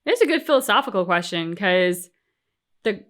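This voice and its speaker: A woman with a bright, clear-cut voice at an average pitch. She co-hosts a casual reaction show and gives her opinions with emphasis. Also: animated, moderate pace. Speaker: co-host of a game reaction channel. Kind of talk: casual discussion and commentary.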